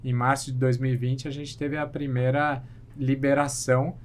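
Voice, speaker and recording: A middle-aged man with a Brazilian accent gives conversational interview answers at a medium pitch. He often rephrases as he goes, and there is faint noise behind him. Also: little pitch movement, normal speed. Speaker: podcast guest, plastic surgeon.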